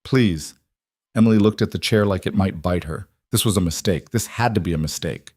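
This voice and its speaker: A man narrating a dramatic story in a steady, scripted storytelling manner. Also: low voice, fast, no echo, clean studio sound.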